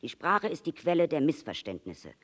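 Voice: medium pitch, big pitch swings, moderate pace. A storyteller voicing an earnest, wistful fox who longs to be tamed, speaking in an emphatic, pleading, explanatory way. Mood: patient, instructing. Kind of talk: storybook character reading.

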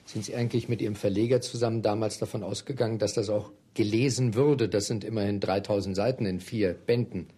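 A man interviewing in a formal, measured way, putting long, carefully worded questions. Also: lowish voice, steady tone, mellow, breathy edge.

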